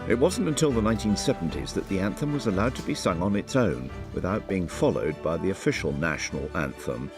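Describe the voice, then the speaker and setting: A man with a lowish voice narrates in a scripted documentary style, his pitch swooping widely from line to line. He keeps a wry, lilting touch, with music playing clearly behind him. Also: sing-song, a little fast, few pauses. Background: background music.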